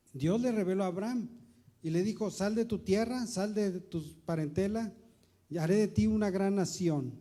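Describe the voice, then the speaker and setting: A male pastor preaching a sermon, stretching phrases slowly for emphasis and asking his listeners rhetorical questions. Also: sits high, dark tone. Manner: repeats words while searching; asks the congregation rhetorical questions.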